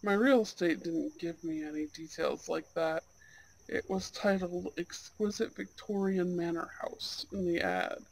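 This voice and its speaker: A performer acting as a charming young Goth gentleman in an ASMR date roleplay. He talks very slowly and softly at a middling pitch, with courteous, slightly old-fashioned phrasing and a gentle manner toward an unheard listener. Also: fairly even intonation, gravelly, poor audio. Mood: curious, uneasy.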